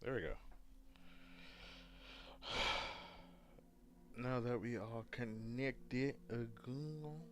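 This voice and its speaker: A hungover man casually chatting with his livestream viewers as he sets up a game, rambling and halting. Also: mid-range voice, slight background noise. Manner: rambling, halting, talks to chat while fiddling with setup. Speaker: gaming livestreamer.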